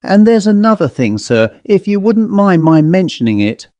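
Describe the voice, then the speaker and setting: A man voicing a polite, guarded male servant in an audiobook story, deferential and measured in manner. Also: high-pitched, sing-song, dark tone, dry recording, pitched above the performer's own voice. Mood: deferential, uneasy. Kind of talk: audiobook character voice.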